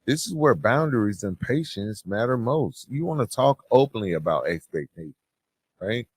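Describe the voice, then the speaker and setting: A man hosting a podcast, giving earnest, reflective advice in a measured way and checking in with the listener as he goes. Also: mid-range voice, expressive, darkish tone, slightly rough, dry recording. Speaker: podcast host.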